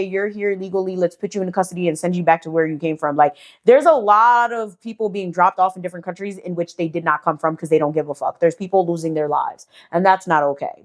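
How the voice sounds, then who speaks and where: Young american woman ranting to camera, swears a lot, fairly low voice. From United States. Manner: blunt, heated and profane, with rhetorical questions. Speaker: vlogger. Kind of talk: casual commentary to camera.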